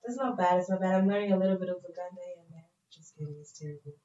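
A woman talks casually as a podcast guest, her voice a little low and broken up by frequent laughs and fillers. She sits some way from the mic in a roomy, echoing space, and the recording sounds dull. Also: very fast. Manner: laughs often, full of fillers, excitable. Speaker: podcast guest, radio host. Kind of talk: casual interview chat. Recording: echoey, dull, distant mic.

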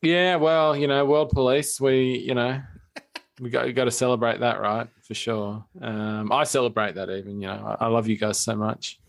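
Male Australian podcast co-host. Creaky mid-range voice, casual, halting, dryly joking. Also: mid-range voice, steady tone. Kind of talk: casual chat.

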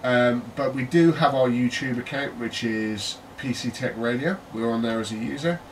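British male co-host, jokey banter, mid pitch, recorded from a distance with faint hiss. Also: moderate pace. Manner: chatty, jokey, trails into laughter. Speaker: podcast co-host. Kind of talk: casual chat. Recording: distant mic with faint noise.

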